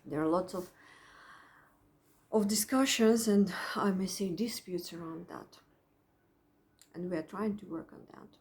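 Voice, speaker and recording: A Russian-accented woman psychologist speaks slowly from her home. Her delivery is reflective and halting, full of pauses and self-corrections. Her pitch sits in the middle range. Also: a little mellow. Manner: thoughtful, halting, with frequent pauses and restarts. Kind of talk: personal reflection to camera.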